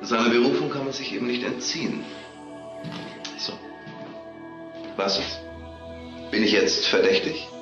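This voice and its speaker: An actor playing a smug, cynical, self-absorbed suspect in a German crime radio drama, talking with sardonic arrogance. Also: male voice, mid-range voice, unhurried, pauses often, muffled recording, music in the background, close to an everyday voice. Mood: cynical, provoking.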